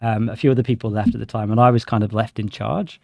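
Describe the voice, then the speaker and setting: A man's voice: fairly low pitch, fairly even intonation, fast, gravelly, dark tone, dry recording. A man of thirty-nine with an English accent, chatting casually and telling informal anecdotes as a podcast guest. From England, grew up in Shropshire. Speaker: podcast guest, sales director. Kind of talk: casual conversational storytelling.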